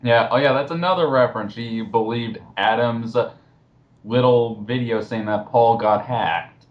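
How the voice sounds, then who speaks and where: A man in casual group commentary, scornful and quick to swear, heard over a dull voice chat. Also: mid-range voice. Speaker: commentary co-host.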